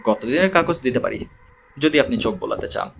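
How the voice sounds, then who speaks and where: A man's voice: medium pitch, lively intonation, a little fast, vocal fry, a bit bright, a little hiss or hum, voice pushed down. A man voicing a wry, unflappable male investigator in an audiobook, dry and plain-spoken. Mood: wry, calm.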